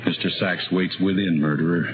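Actor as deep-voiced brooding murderer in old radio thriller. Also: male voice, fairly even intonation, background noise, lightly acted. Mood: brooding, menacing. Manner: brooding, dramatic, deliberate phrasing. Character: desperate, bitter blackmailed man turned murderer, narrating. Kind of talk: 1950s radio thriller acting, first-person narration. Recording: muffled old radio recording with music behind.

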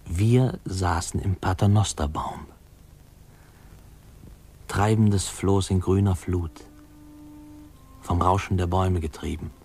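A man playing a reflective narrator who wryly recalls his boyhood in a 1950s radio play, with musing pauses. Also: low voice, fairly even intonation, a little mellow, slight background noise. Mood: wry, reminiscing. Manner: wry, musing, pausing.

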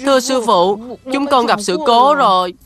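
A woman's voice: a little high, normal speed, music in the background, natural voice. A woman voicing an earnest, polite little boy novice monk in a dubbed cartoon. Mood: worried. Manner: earnest, respectful.

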